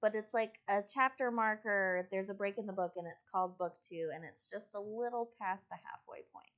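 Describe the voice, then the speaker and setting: A woman's voice: medium pitch, a little fast, fairly bright tone, highs cut off. A woman co-hosting a live stream in casual, conversational chat. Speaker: co-host.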